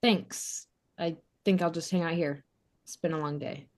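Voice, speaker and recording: A woman's voice: on the low side, fairly even intonation, moderate pace, a little mellow, natural voice. A woman acting a sheltered, earnest, unsure young runaway bride in a sitcom table read. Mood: subdued, wistful.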